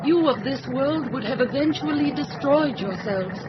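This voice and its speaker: A calm alien visitor, played by an actor in an old-time radio drama, lecturing humanity in measured, formal phrases with lofty superiority. The sound is muffled, with a loud engine rumble underneath. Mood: calm, ominous.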